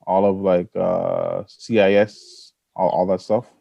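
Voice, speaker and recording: A man's voice: deep voice, little pitch movement, slow pace, vocal fry, dry recording, dull audio. A man talking casually as a basketball coach on a podcast panel. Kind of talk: casual chat.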